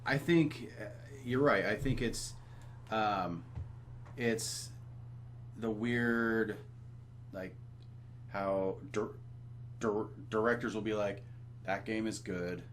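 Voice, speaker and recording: A man co-hosting a casual chat, speaking in hesitant, halting phrases. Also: fairly low pitch, steady tone. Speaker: podcast co-host. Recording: faint hum and noise.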